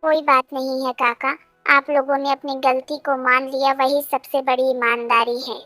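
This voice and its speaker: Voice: high-pitched, flat delivery, moderate pace, sharp tone, no room sound, faint music behind. A performer voicing an earnest, honest, polite young schoolboy in a cartoon. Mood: forgiving.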